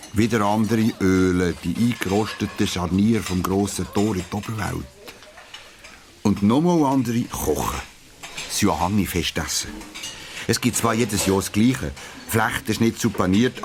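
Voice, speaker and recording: A man's voice: lowish voice, background noise. A man narrating a children's audio story expressively, drawing out key words. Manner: expressive storytelling, drawn-out emphasis.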